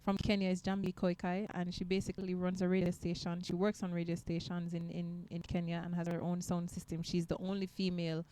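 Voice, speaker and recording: A woman who sings, talking in a casual interview in a soft, reflective and earnest way. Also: fairly low pitch, completely flat delivery, brisk, few pauses, slight background noise, poor audio. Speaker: guest singer.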